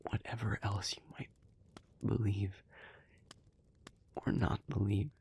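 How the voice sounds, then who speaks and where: Guy acting as a gentle logical demon, deep gravelly half whisper, careful halting formal speech, intimate audio rp. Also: steady tone, heavily acted. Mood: pleading, tender. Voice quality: breathy, hushed, gravelly. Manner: measured, deliberate, halting, formal wording. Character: earnest, analytical male demon, gently devoted and restrained. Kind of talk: intimate scripted audio roleplay.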